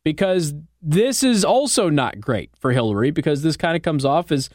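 American man, talk radio host, political monologue, animated pitch, sardonic asides, conversational, dry close-mic sound. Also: moderate pace, no room sound. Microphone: close studio microphone.

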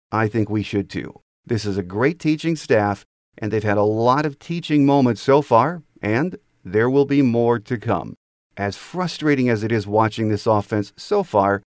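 A man's voice: on the low side, a little mellow. A middle-aged or older American man delivering scripted sports commentary as a podcast host.

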